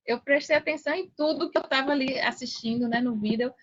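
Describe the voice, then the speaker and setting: A Brazilian woman talking casually as a podcast guest, telling her experiences in an animated way and often seeking agreement. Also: sits high, fast. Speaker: podcast guest, affiliate seller. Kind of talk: casual conversational storytelling. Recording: dull, band-limited sound.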